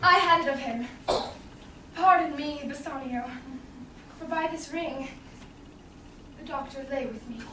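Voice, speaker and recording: Young woman as teasing noble lady, high voice, declamatory Shakespeare, roomy distant noisy stage recording. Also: unhurried, pauses often. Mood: poised, knowing. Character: witty, self-possessed noble lady teasing her husband. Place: small theatre stage with audience. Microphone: far camera in the audience.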